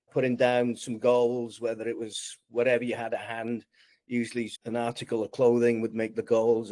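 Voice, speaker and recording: A Welsh-accented man in his sixties speaks as an interview guest at an even middle pitch. His telling is measured and unhurried. The sound is dry and close, with little room in it. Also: dry recording. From Wales. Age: 60s. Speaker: podcast guest. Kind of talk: relaxed interview storytelling.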